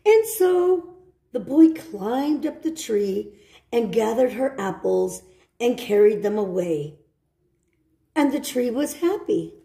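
A woman reads a children's storybook in a high, mellow voice. Her phrasing is slow and deliberate, with short asides to young listeners. The room adds a noticeable echo. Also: expressive, gravelly. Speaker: storybook reader. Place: indoor room at home.

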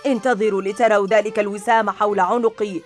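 A woman's voice: mid-range voice, fairly even intonation, fast talker, faint music behind, close to an everyday voice. A woman voicing a boastful, bratty young girl ninja villain who bosses her henchmen around. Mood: bossy. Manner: bossy and taunting.

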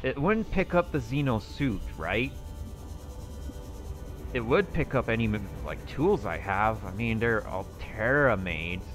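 Man, game roleplay, thinking aloud, unhurried, lively rising and falling pitch. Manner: thinking aloud to himself, musing and hesitant. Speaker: roleplay gaming channel host. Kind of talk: in-character survival game roleplay.

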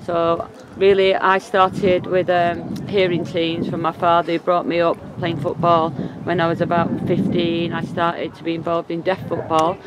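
A woman interpreting for deaf footballers, voicing their words in first person with a steady, plain delivery. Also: on the low side, moderate pace, few pauses. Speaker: sign language interpreter voicing deaf players and coach. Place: outdoors at a football pitch. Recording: loud outdoor noise.

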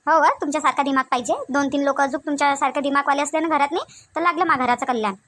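A high-pitched cartoon matriarch played by a woman with a Varhadi Marathi accent. She is proud, nagging and quick with sarcasm. Every remark comes out like a scolding from the head of a village household. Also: fairly even intonation. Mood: indignant, sarcastic. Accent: Varhadi Marathi of Vidarbha. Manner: sharp-tongued, nagging, sarcastic. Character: proud, scolding, sarcastic middle-aged mother-in-law. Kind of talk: comic village family cartoon dialogue.

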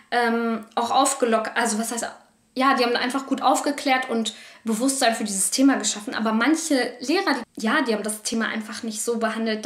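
Candid young woman in an echoey room. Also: fairly high pitch. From Germany. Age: young adult. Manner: candid, animated, gestures a lot. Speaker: vlogger. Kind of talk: personal storytelling to camera. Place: living room, on a sofa.

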